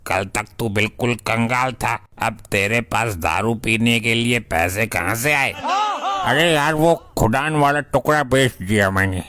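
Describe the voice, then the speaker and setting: A man's voice: mid-range voice, unhurried, sharp tone. A man voicing a shameless, boozy village layabout with colloquial, blustering banter in a comedy cartoon. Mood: idle banter. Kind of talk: Hindi comedy cartoon dialogue. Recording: clearly noisy background.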